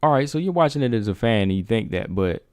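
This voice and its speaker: A man's voice: medium pitch, expressive, fast talker, a little mellow, dry recording, studio quality. A man hosting a casual MMA livestream, asking his viewers rhetorical questions. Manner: talks straight to viewers, asks rhetorical questions. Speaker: MMA talk show host.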